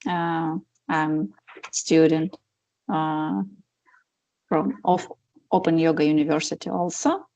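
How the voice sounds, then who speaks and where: Slow, low-voiced hesitant woman. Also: flat delivery, highs cut off. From Slovenia. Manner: hesitant, soft laughs.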